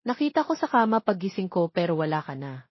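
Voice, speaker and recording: A woman's voice: lowish voice, sing-song, fairly quick, slightly rough, no echo, lo-fi sound. Romance audiobook lover, played by a woman: young man, serious, pleading, anxious, close dry sound. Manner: pleading, serious, mixes English into Tagalog.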